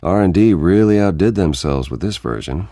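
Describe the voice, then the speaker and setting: A man voicing a brooding, controlled masked vigilante in a video game, terse and gravelly. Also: deep voice, animated, normal speed, warm tone, no echo, clean studio sound. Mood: grim, focused. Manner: terse, clipped.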